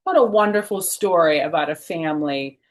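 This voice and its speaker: A woman's voice: sits low, animated, normal speed. A middle-aged woman reading a picture book expressively to children, adding chatty asides, recorded at home. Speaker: children's storytime reader. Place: small furnished room at home.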